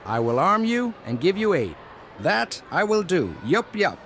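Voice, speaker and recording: A man voicing a plump old gnome elder with a creaky voice and quaint, deliberate, formal phrasing, in a fantasy audio story. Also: high voice, sing-song, fairly bright tone, a little hiss or hum, exaggerated voice. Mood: quirky, earnest.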